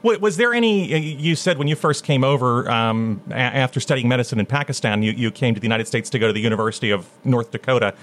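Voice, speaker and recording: Casting: male radio host, relaxed interviewer, restarts his sentences. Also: mid-range voice, fast talker, hardly any pauses. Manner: conversational, repeats words when starting.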